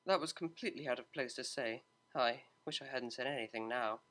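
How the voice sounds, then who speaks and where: A man's voice: medium pitch, brisk, pushed and bright, close to an everyday voice. A man reading the lines of a refined young female cellist pony, formal and politely reserved. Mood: earnest.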